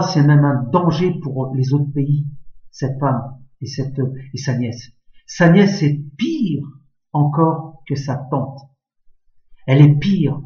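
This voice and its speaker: A man born in France speaks indignantly to his viewers, piling up rhetorical questions and tagging his points with "hein". His voice sits fairly high. The audio is echoey and dull, with a lo-fi quality. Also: darkish tone. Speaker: political commentator addressing viewers. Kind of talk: impassioned monologue to camera.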